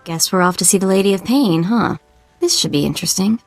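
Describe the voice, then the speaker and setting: A woman playing a breezy, wry mercenary's sidekick in a video game, speaking briskly. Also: mid-range voice, lively intonation, no room sound, soft background music, natural voice. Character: breezy, wry female sidekick to bounty hunter. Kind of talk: video game cutscene acting.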